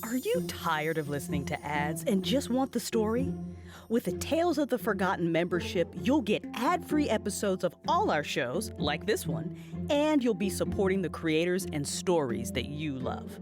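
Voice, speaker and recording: A woman's voice: lowish voice, big pitch swings, moderate pace. A woman announcer reading a scripted podcast membership promo in a conversational sales style. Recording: music bed behind voice.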